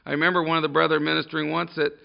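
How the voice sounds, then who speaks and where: A man preaching loudly and emphatically to a congregation, often breaking off and restarting his sentences. His voice sits a little high and has a gravelly edge. It comes through a dull, lo-fi sermon recording. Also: level tone, rapid-fire. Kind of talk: sermon with scripture reading. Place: church room with a congregation.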